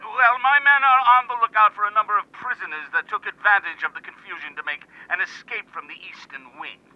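A man's voice: high voice, brisk, dull audio. A man playing a guarded, firm navy admiral giving clipped official answers over a radio link in a drama. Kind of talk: old-time radio drama interview.

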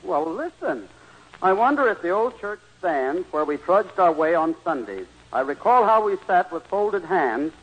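Meek, hesitant male verse writer, husky and very animated, vintage radio comedy. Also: sits high, unhurried, exaggerated voice, low-quality recording. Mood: dismayed. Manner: timid, hesitant, earnest. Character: meek, naive, fretful male greeting-card verse writer. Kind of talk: 1940s radio comedy acting. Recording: old radio broadcast recording.

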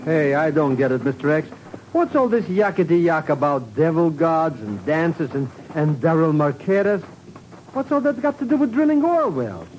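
Brash, dim radio sidekick: highish, swooping, mellow voice, slangy bluster and stammering. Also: male voice, big pitch swings, moderate pace. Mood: cocky, puzzled. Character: brash, slow-witted, excitable comic sidekick. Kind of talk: 1950s radio comedy-drama acting. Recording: faintly noisy old radio recording.

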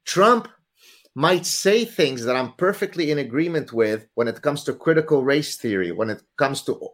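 A man whose first language is Arabic, a guest author explaining his ideas in a lively, theatrical, lecturing way. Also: on the high side, normal speed. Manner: lecturing, theatrical, uses vivid examples. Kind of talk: animated explanatory talk.

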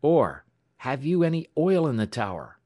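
A male narrator acts out an earnest young telegraph operator in an adventure storybook. The voice is pitched well up, urgent and eager but respectful, and recorded dry. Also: moderate pace, dry recording, lightly acted. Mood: urgent, excited.

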